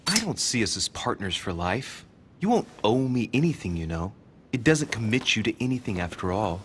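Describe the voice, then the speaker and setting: An actor playing a persistent, earnest young investigator from a secret society in a video game, coaxing and insistent. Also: male voice, on the low side, very animated, normal speed, noisy background. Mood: persuasive. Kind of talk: dramatic video game dialogue. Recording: music under the voice.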